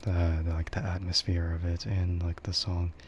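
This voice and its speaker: Male streamer, deep and unhurried, for soft, meandering ASMR chat; dull lo-fi sound. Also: level tone. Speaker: VTuber streamer. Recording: dull lo-fi microphone with faint noise.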